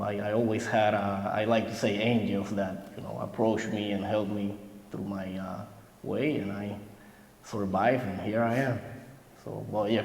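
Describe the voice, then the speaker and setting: A veteran from Puerto Rico, a Spanish native speaker with a Puerto Rican Spanish accent, recalling his service in a halting way with many fillers. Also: on the low side, creaky, a little mellow, roomy sound, in a large hall, poor audio. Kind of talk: recorded interview reflection.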